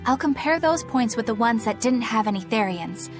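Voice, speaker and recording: A woman's voice: a little high, animated, normal speed, over music, natural voice. An actress playing a precise, earnest, prickly young woman who speaks formally and insistently in a video game. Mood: methodical.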